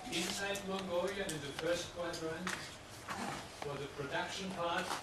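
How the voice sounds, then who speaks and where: Older German-accented man lecturing, echoey hall. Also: fairly high pitch. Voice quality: loud voice. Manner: methodical, repeats points, halting non-native phrasing. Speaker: professor. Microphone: room microphone, often moving away from it. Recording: echoey hall, distant mic, loud background noise.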